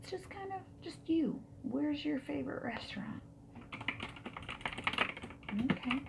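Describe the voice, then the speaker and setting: A woman playing a friendly dating service interviewer in a soft-spoken ASMR roleplay, breathy and often slipping into a whisper, gentle and reassuring. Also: sits high, lively intonation, exaggerated voice. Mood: friendly, curious. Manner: gentle, unhurried, reassuring, asking questions. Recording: background noise with keyboard typing and clicks.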